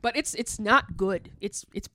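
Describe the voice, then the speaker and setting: A woman's voice: medium pitch, measured pace, sharp tone. A woman with an American Midwest accent, chatting casually and candidly as a podcast co-host, with strong opinions freely given. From Chicago, American Midwest.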